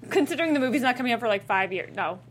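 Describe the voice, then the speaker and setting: A woman's voice: fairly high pitch, expressive, racing, slight background noise. A woman co-hosting a casual chat, giving her opinions in a chatty way.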